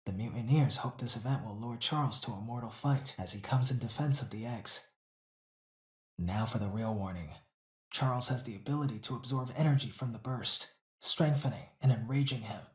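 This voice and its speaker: A solemn, foreboding cultist from a video game, played by a man. He speaks formally and reverently at a medium pitch, his voice ringing in a roomy echo. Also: moderate pace, lightly acted. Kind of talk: video game character acting.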